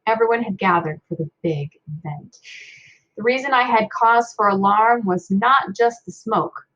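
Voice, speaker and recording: A woman narrating a children's book aloud in an expressive storytelling style. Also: medium pitch, moderate pace, dull audio.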